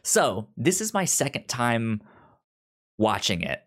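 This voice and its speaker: A man's voice: mid-range voice, sing-song, takes it slowly, a bit bright. A man hosting a podcast in a casual, chatty style. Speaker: podcast host.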